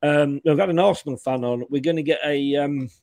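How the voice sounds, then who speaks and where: A man's voice: a little high, brisk, darkish tone, no room sound. A man hosting a football fan live stream, rambling and laughing casually while losing his voice. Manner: laughing, rambling, repeats himself. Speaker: host.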